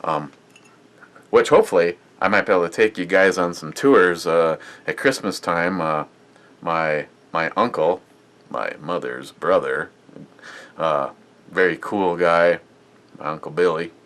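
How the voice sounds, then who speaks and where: A man's voice: sits low, unhurried, fairly bright tone. A man casually reviewing a beer on his vlog, rambling and chatty with lots of fillers and restarts.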